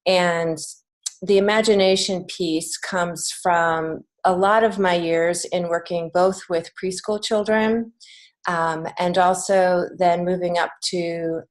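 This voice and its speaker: A woman's voice: fairly low pitch, steady tone, slow. A woman speaking as a guest in a conversational interview, explaining things earnestly. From United States. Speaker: podcast guest, children's author and creative writing teacher.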